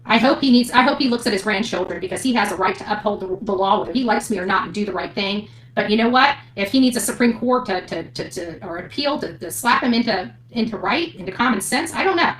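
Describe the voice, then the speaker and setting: A woman's voice: medium pitch, fast, hardly any pauses, a bit bright, low-quality recording. A woman ranting unscripted to her online audience in a defiant, indignant, emphatic manner. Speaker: online commentator covering court cases.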